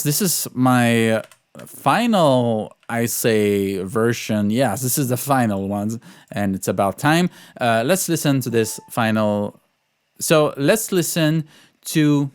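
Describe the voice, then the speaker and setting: A man's voice: medium pitch, clear, resonant tone, no room sound. A man talking through an orchestration lesson as a composer, informally and hesitantly, thinking aloud as he goes. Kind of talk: informal tutorial walkthrough.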